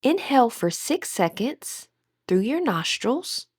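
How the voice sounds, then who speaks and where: Woman, meditation instructor, slow gentle delivery, lilting pitch, breathy tone, scripted guidance. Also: sing-song, dry recording, studio quality. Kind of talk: scripted guided meditation narration.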